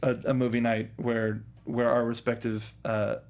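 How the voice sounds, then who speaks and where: Young guy cohosting a podcast, excited fan chatter, keeps restarting sentences, average pitch. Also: moderate pace. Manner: enthusiastic, stumbling restarts, fan talk. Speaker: podcast co-host. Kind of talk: casual chat.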